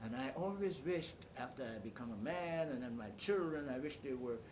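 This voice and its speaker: Older male interviewee, halting storyteller, mid-pitched voice, noticeable rumbling noise on the recording. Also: expressive, darkish tone. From Bahamas. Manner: storytelling, halting, searching for words. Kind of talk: oral history reminiscence. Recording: clearly noisy, low rumble behind.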